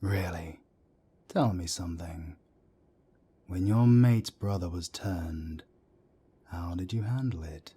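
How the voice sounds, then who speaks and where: A man playing a calm, firm older werewolf councillor and mentor in an audio drama, with a soft, breathy rasp. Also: sits low, exaggerated voice. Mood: earnest, insistent. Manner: measured, firm, reassuring. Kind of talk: scripted roleplay audio drama.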